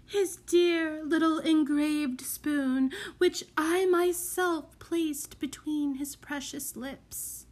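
A woman's voice: sits high, fairly even intonation, drawn-out words, few pauses, darkish tone, pitched above the performer's own voice. A woman voicing a grieving aristocratic mother in a storybook, murmuring tremulously and sentimentally. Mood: mournful, wistful. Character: mournful, wistful aristocratic mother pining for her lost child. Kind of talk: acted storybook dialogue.

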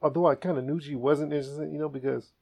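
A man hosting a comedy podcast, telling stories in a casual, animated way and laughing often. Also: a little high, fast talker, vocal fry. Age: adult. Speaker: podcast host.

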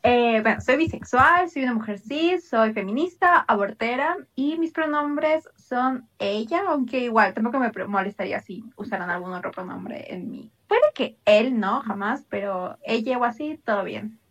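A young woman with an Ecuadorian accent tinged by Spain chats and jokes about herself. Her voice sits a little high. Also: lively intonation, moderate pace, hardly any pauses, a bit bright, lo-fi sound. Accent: Ecuadorian with some Spanish from Spain. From Quito, Ecuador, raised in Spain. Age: 20s. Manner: chatty, laughing, self-mocking. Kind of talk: casual group chat.

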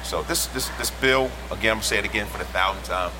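A man's voice: fairly low pitch, fast. A man in a casual podcast panel, exhorting listeners and repeating his points, recorded in a lounge. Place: cigar lounge. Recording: clearly noisy lounge recording.

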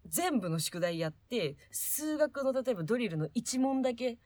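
A woman's voice: a little high, normal speed, dry recording. A woman co-hosting a casual chat show, telling stories in a rambling way and acting out quotes as she goes. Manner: animated, rambling, acts out quoted remarks. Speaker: podcast co-host.

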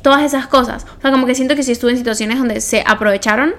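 A woman's voice: on the high side, fast, fairly bright tone. A young woman with a Venezuelan accent telling personal stories in casual podcast chat, informally and often correcting herself as she goes. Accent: Venezuelan Spanish. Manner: informal, self-correcting storytelling. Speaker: podcast co-host.

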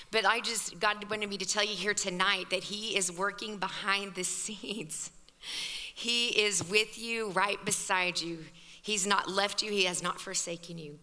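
A woman pastor with a scratchy, hoarse voice, preaching a fervent, conversational sermon. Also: medium pitch, sharp tone. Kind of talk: sermon at a women's evening service. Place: church hall. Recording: long reverb of a large hall.

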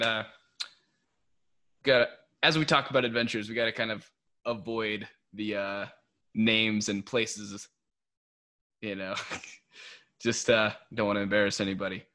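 A young American man chats easily as the host of a casual podcast, his voice sitting on the low side. He keeps things loose, laughs a lot and fills pauses with "um" and "uh". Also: steady tone, sharp tone. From United States. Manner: laid-back and chatty, laughs often, many fillers. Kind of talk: casual podcast chat mixing English and Tagalog.

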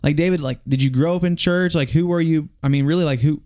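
A man's voice: fairly high pitch, quick pace, a little mellow. Male podcast host, casual and eager, clean resonant voice. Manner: upbeat, eager, full of fillers like "man" and "like". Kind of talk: casual interview chat. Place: studio.

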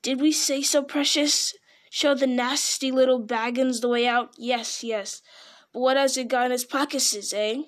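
A woman's voice: sits high, steady tone, a little slow. A woman voicing a slimy, wicked creature in a storybook, hissing its words, repeating itself and wheedling spitefully. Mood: sly spiteful. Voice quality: hissing, sibilant. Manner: muttering repetitions, speaks of itself as "us".

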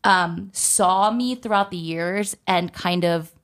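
Young woman just talking to the camera, kinda low voice, lots of likes and you knows. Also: slow pace, a bit bright. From United States. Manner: chatty, heavy use of "like" and "you know". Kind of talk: personal storytelling to viewers.

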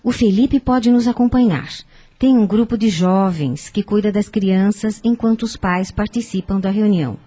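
A woman's voice: mid-range voice, normal speed, warm tone, slightly rough, natural voice. An actress with a Brazilian accent playing a kindly, devout older woman in a radio soap, earnest and instructive. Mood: helpful, explaining. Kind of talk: radio soap opera acting.

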